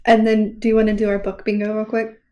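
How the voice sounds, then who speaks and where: A woman's voice: medium pitch, monotone, fast, a little mellow, lo-fi sound. A woman co-hosting a podcast, chatting casually about books in a roomy, echoey recording. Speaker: podcast co-host. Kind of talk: casual book chat.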